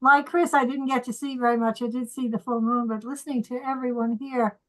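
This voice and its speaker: A soft-voiced woman chatting and reminiscing on a video call. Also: on the high side, steady tone, brisk, poor audio. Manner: chatty, reminiscing, light laughs. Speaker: meeting participant. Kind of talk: casual chat on a video call.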